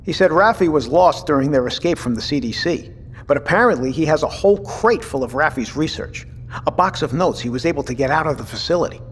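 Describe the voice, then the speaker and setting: Man as measured captain, wide-ranging voice, reverberant. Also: on the high side, expressive, lightly acted. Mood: matter-of-fact. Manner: measured, thoughtful. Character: measured, courteous male captain and father leading a settlement. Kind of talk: audio drama acting. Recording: reverberant room with faint noise.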